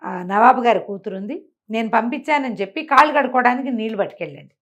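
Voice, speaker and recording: A woman telling a folk tale in an animated, conversational way, acting out the characters' exclamations. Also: fairly high pitch, quick pace. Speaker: storyteller. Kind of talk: animated oral folk storytelling to a listener.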